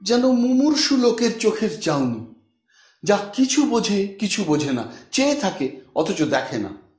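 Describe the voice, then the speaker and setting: High-pitched, animated male storyteller, echoey. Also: measured pace. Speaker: audiobook narrator and storyteller. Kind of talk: expressive scripted literary narration.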